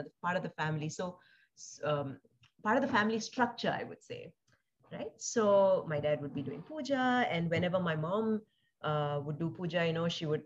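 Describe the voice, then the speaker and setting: Woman, low pitch, thoughtful, hesitant, many fillers, muffled recording. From India, raised in Singapore. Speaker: guest. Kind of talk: reflective conversational interview.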